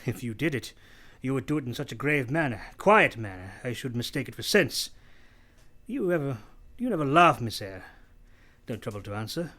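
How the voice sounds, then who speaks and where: An actor playing a brusque, teasing, moody gentleman master of the house in a radio play, imperious and halting. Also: medium pitch, big pitch swings. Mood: probing. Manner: imperious, abrupt, probing, halting delivery.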